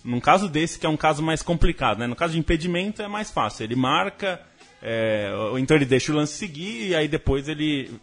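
A man from Brazil on a sports talk panel, explaining rules in a measured way. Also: fairly high pitch, expressive, a bit bright, faint music behind. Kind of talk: casual sports chat.

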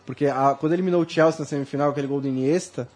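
Brazilian male football pundit, quick and husky, slightly raised voice, animated debating. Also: fairly high pitch. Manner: fast, animated, many restarts. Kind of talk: casual sports debate.

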